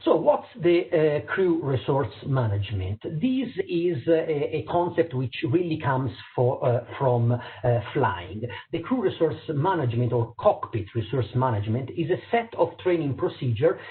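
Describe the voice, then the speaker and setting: A middle-aged man with an Italian accent presents to fellow doctors over a video call, pausing often on fillers and restarting his phrases. His voice sits at an ordinary pitch for a man. The sound is thin and narrow, like a phone line. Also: sing-song. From Italy. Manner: hesitant, many fillers and restarts. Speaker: eye surgeon and pilot giving a talk. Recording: tinny phone-like audio.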